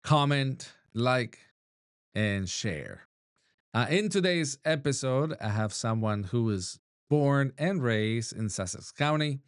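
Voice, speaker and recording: A man's voice: mid-range voice, expressive, slow, clear, resonant tone, dry recording, clean studio sound. A man from Argentina, hosting a casual interview podcast in a friendly, enthusiastic way. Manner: friendly, enthusiastic, laughs easily. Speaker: podcast host.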